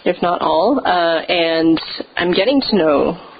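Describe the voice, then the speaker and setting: Lower-voiced woman sharing testimony. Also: lively intonation, slow, a little hiss or hum. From Canada. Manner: casual and conversational, with frequent hesitations. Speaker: pastor. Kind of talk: personal testimony at a church service. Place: church sanctuary. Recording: muffled lo-fi church recording.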